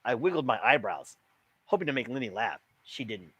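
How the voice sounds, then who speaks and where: A man reading a children's book aloud as an expressive storyteller. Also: medium pitch, brisk, slightly rough, no room sound.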